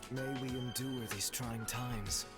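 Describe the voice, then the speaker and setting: A woman voicing a grave, composed game character in short clips, quiet under game music. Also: rumbling low, steady tone, slow pace.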